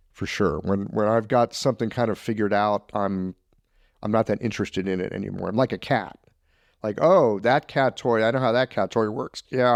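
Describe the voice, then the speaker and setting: A man's voice: lowish voice, dry recording. A man hosting a podcast interview, asking questions in a reflective, musing way. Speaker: podcast host.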